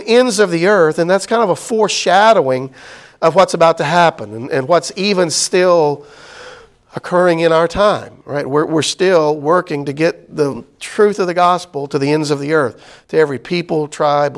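A middle-aged man, teaching a Bible class in a loose, conversational way with many fillers and restarts. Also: fairly high pitch. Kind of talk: casual Bible study lecture.

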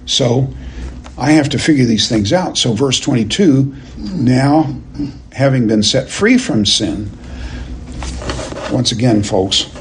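A man teaching a Bible class verse by verse, speaking in a deliberate, halting and emphatic way and putting questions to his listeners. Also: medium pitch, creaky, slight background noise. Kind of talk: expository lecture to a class, verse by verse.

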